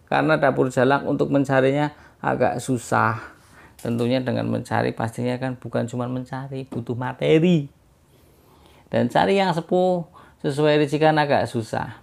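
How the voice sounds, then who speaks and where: Guy w hosting a culture channel, gravelly hoarse voice, kinda sick sounding, explains stuff informally. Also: mid-range voice, darkish tone. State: hoarse, under the weather. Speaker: host of a heirloom dagger culture channel. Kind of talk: informal explanatory presentation to viewers.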